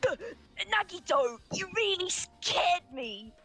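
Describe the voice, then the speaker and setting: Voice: very high voice, big pitch swings, slow, bright, forceful tone, soft background music, pitched above the performer's own voice, full character voice. A performer voicing a timid, flustered young character who stammers earnestly in a fan fiction reading. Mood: startled. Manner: stammering, hesitant.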